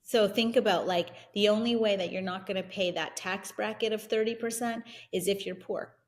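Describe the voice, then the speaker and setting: A woman whose first language is Italian, telling her investing story as an interview guest in an energetic, fast, filler-heavy way. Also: medium pitch. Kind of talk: casual interview conversation.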